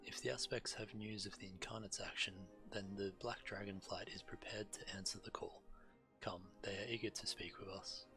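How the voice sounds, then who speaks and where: Man playing a low-voiced, dignified dragon envoy. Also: fairly even intonation, natural voice. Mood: resolute. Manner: measured, formal, solemn. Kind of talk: fantasy video game acting. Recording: music under the voice. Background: game music.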